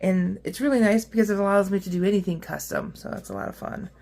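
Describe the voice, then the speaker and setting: Craft-tutorial host: woman, hoarse today, heavy vocal fry, mid-pitched, chatty and filler-heavy. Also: fast, dark tone. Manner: chatty and upbeat, with frequent ums and uhs. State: rough, hoarse voice. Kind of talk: casual how-to craft demonstration.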